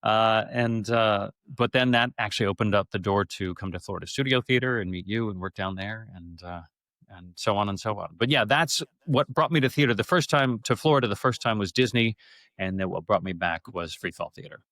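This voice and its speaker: A man's voice: lowish voice, a little fast, fairly bright tone, no room sound. A middle-aged man telling stories casually as an interview guest, in a rambling, effusive way. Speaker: interview guest, stage actor. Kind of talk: casual conversational storytelling.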